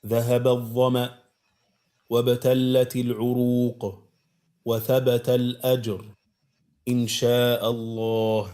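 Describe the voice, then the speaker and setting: A man calmly reciting a short prayer in a measured way. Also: mid-range voice.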